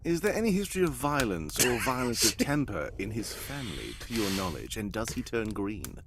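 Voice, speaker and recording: A man playing a brisk, commanding gentleman detective in a live radio drama, formal and wry. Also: fairly low pitch, sing-song, very noisy. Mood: brisk, inquiring. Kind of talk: live old-time radio drama acting, read cold.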